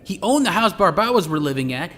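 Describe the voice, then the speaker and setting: A man playing an eager, excitable police detective in an audio drama. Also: sits high, fast. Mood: excited, insistent.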